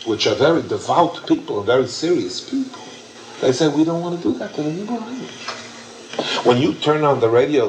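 A man's voice: medium pitch, background noise. A man leading an informal question-and-answer session, emphatic and repetitive as he explains. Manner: warm, emphatic, repeats himself. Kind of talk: informal Q&A lecture.

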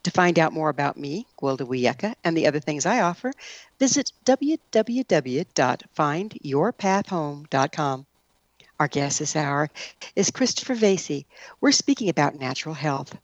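A woman's voice: deep voice, sing-song, moderate pace, no echo, highs cut off. A woman hosting a broadcast interview show and making show announcements. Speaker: radio show host.

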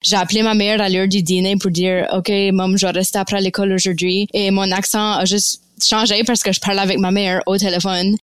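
A woman's voice: medium pitch, flat delivery, a little fast, hardly any pauses, clean tone, dry recording, clean studio sound. A young woman with an Acadian French accent, talking reflectively as a podcast guest, with many fillers and restarts. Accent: Acadian French of Baie Sainte-Marie. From Acadian Nova Scotia. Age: young adult. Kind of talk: casual conversation.

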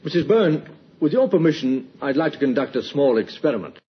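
A man playing a calm, formal, quietly persistent police inspector in an old radio drama. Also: mid-range voice, a little fast, breathy edge. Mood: calm, probing. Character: composed, quietly persistent male Scotland Yard police inspector. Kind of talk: 1950s radio drama acting. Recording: muffled old radio recording with hiss.

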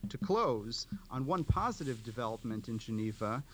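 A man giving formal policy commentary as an interview guest, speaking deliberately in short, halting clauses. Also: mid-range voice, sing-song, a bit bright, slight background noise. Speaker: advocacy organization director, interview guest.

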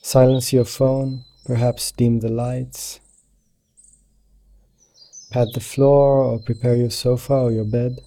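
A man leading a guided meditation as a teacher, speaking in a gentle, soothing, unhurried manner. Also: mid-range voice, flat delivery. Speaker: meditation teacher guiding yoga nidra.

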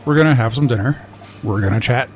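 An actor playing a blunt, protective middle-aged uncle who talks steadily. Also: male voice, mid-range voice, quick pace, faint music behind, close to an everyday voice.

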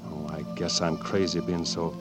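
Young cowboy outlaw, male actor: low voice, earnest, halting, vintage radio drama with music behind. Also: flat delivery, close to an everyday voice. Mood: earnest, shy. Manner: halting, plainspoken western talk. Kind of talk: 1940s radio acting.

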